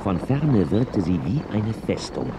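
A man's voice: lowish voice, big pitch swings, a little slow. An actor playing a man who recounts his own Western adventure as scripted radio play narration. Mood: suspenseful. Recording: noisy outdoor sound-effect backdrop.

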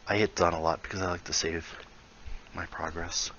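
A man's voice: low-pitched, fairly even intonation, moderate pace, fairly bright tone, dull audio, a little hiss or hum. A man casually explaining how he builds in a VR game, thinking aloud and muttering small asides as he works. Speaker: VR building tutorial creator. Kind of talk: casual tutorial while building in VR.

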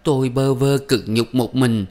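A man's voice: mid-range voice, animated, unhurried, voice pushed up, close to an everyday voice. A man voicing a furious, domineering older mother-in-law who scolds and wails melodramatically. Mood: raging, wailing. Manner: scolding, wailing, self-pitying.

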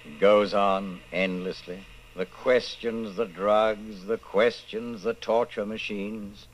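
An actor playing the grim narrator of a dystopian radio play, solemn and deliberate. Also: male voice, on the low side, fairly even intonation, a little hiss or hum, poor audio. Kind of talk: scripted radio drama narration.

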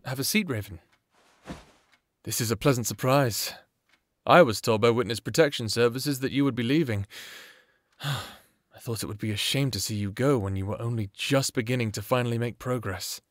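Man acting a gentle therapist, very dry sound. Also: medium pitch, no room sound, lightly acted. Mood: welcoming, careful. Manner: measured, polite, probing. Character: attentive, gentle male therapist doctor. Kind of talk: audio roleplay acting, one-sided conversation.

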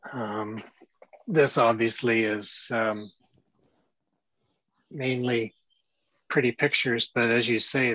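A man's voice: on the low side, pushed and bright, no room sound, poor audio. A man giving casual commentary on shared images at an online astronomy meeting, speaking over a video call. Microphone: video call microphone.

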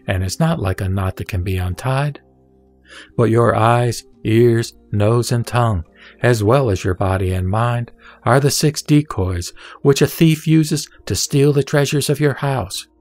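A man reciting Buddhist scripture as scripted narration, formal and measured, in short deliberate phrases. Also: mid-range voice, a little mellow. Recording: background music under the voice. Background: music.

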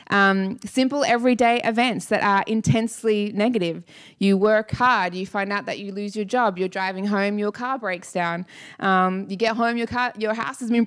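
A woman in her thirties preaching a sermon on a church stage into a handheld mic, energetic and emphatic, repeating key lines. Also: medium pitch, clear, resonant tone. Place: church stage with dark blue lighting. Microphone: handheld microphone.

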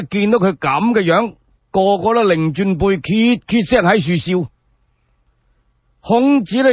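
Male Cantonese radio storyteller, higher-pitched and colloquial, very lively delivery, slightly muffled recording. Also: no room sound. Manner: colloquial, dramatic. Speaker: solo storyteller. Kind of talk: animated oral storytelling of historical tales for radio.

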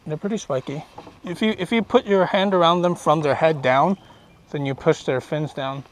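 A young man casually commenting while fishing from a small boat on a lake, easygoing and upbeat, getting excited over each catch. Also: on the high side, moderate pace, a little hiss or hum, poor audio. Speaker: fishing vlogger. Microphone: action camera on the boat.

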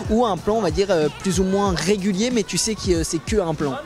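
A man's voice: high voice, a little fast, music in the background. A young man interviewing passers-by on a busy city sidewalk with a handheld mic, asking long rambling questions with many fillers. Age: young adult. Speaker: street interviewer. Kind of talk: street vox-pop interview.

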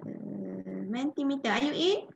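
A Malaysian woman lectures in a fairly high voice to an online class. She is informal and checks often that everyone follows. The sound is slightly muffled, as from a headset on a video call. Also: animated, measured pace, creaky, a little hiss or hum. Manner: informal, checks often with listeners, tags with "yeah?" and "okay?". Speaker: university lecturer leading an online training. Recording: dull, lo-fi video-call audio.

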